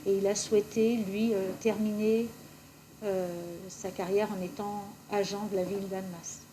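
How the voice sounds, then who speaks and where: A woman's voice: medium pitch, little pitch movement, normal speed, mellow, slight background noise, poor audio. A woman presenting a formal report and giving explanations as an official at a council meeting.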